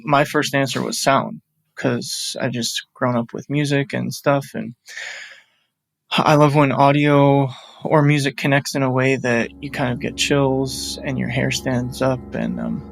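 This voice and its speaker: A man's voice: mid-range voice, creaky, no echo. A man speaking as a guest on a podcast, musing thoughtfully in casual conversation. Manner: reflective, musing.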